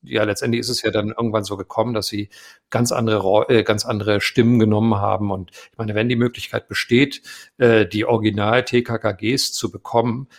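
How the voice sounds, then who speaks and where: A man, a voice actor, talking casually in an interview, hesitant with many pauses and fillers. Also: on the low side, fairly even intonation. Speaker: voice actor guest.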